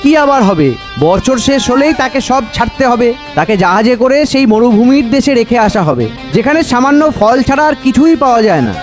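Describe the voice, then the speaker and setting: A ghostly cartoon courtier, played by a man, speaks in a very high voice over faint music. The spirit is deferential but matter-of-fact, laying things out in a courtly way. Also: lively intonation, fairly quick. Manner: explanatory, courtly. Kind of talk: cartoon voice acting.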